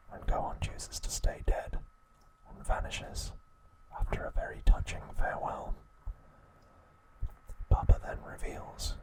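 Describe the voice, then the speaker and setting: A man narrating a scripted recap in a soft, breathy ASMR whisper, slow and deliberate, in short phrases. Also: low-quality recording.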